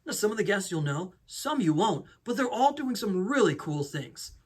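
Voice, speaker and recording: A man's voice: high-pitched, very animated, normal speed, breathy edge. A man hosting a podcast, talking conversationally as he introduces the show and his guest. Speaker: podcast host. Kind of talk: upbeat show introduction and interview.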